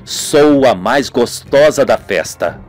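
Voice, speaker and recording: A man's voice: medium pitch, lively intonation, takes it slowly, voice pushed down. A man voicing an earnest, weary police inspector in an amateur radio soap, over music. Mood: grave, intrigued. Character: weary, earnest male police inspector recounting grim cases.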